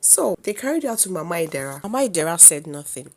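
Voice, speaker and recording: A woman with a Nigerian accent tells a folktale in dramatic style. Her pitch moves widely and expressively. She phrases things deliberately, with a solemn, theatrical air. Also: sing-song, darkish tone. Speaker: storyteller.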